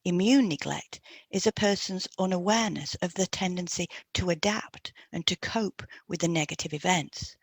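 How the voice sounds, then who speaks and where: Female co-host for scripted explainer audio. Gravelly edge to the voice, recorded dry. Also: lowish voice, moderate pace, no room sound. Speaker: podcast co-host, hypnotherapist. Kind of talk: scripted educational narration.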